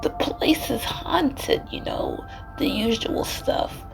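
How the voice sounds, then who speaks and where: A woman voicing a fussy, stern game librarian with a put-on New Jersey accent and a breathy, drawn-out, dramatic delivery, over music. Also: fairly high pitch, huge pitch swings, whispered, voice pushed up, heavily acted, low-quality recording. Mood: eerie, suspicious. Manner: dramatic, scolding. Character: fussy, stern female librarian with spooky complaints.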